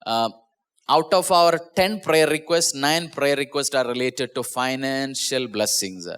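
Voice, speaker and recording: A man's voice: measured pace, a bit bright, clean tone. A man who is a pastor, with an Indian English accent and Malayalam as his first language, preaching an online sermon in an earnest way, often repeating and restarting words. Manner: earnest, repeats and restarts words, drops pitch at phrase ends. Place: small church.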